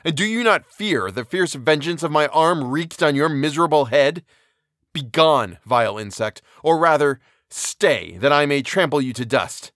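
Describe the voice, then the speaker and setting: A man's voice: medium pitch, moderate pace, a bit bright, dry recording, clean studio sound, natural voice. A man voicing a furious young male scientist with raised, declamatory outbursts in an audiobook reading.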